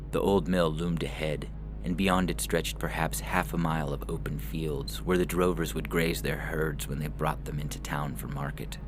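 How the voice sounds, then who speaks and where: Narrator for dramatic fiction: very deep male voice, deliberate and measured, over prominent music. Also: gravelly. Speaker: story narrator.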